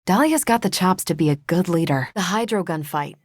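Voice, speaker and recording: A woman's voice: lowish voice, expressive, fairly quick, dry recording, clean studio sound. A woman voicing a confident, wry young superhero soldier with an American accent, in casual, self-assured video game banter.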